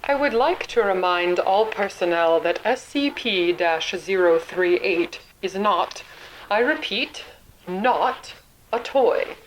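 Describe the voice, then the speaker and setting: A woman's voice: medium pitch, animated, slow, a little hiss or hum, low-quality recording. A woman playing a stern research doctor, firmly and emphatically admonishing staff in a scripted audio drama.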